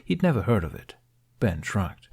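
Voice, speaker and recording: A man's voice: on the low side, sing-song, normal speed, creaky, a little mellow, husky. A man reading scripted fiction aloud as an audiobook narrator, telling a dramatic story.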